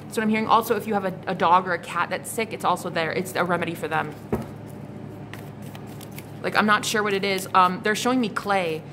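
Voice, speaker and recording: A woman's voice: fairly low pitch, a little fast. A woman in her thirties doing a casual tarot reading to camera, blunt and exasperated, swearing freely and repeating herself. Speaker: psychic tarot reader. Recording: noticeable background noise.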